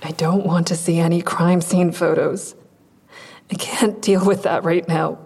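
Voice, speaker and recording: A woman playing a weary, guarded, traumatized woman in an audio drama, curt and sardonic, with a husky voice. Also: on the low side, steady tone, measured pace, darkish tone, heavily acted. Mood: guarded, flat. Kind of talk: full-cast audio drama acting.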